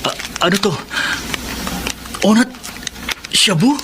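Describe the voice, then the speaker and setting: A man's voice: sits high, expressive, slow, music in the background. Reserved young working man, lightish voice. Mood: wary, refusing. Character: dutiful adopted son, working driver, reserved. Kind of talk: radio drama acting.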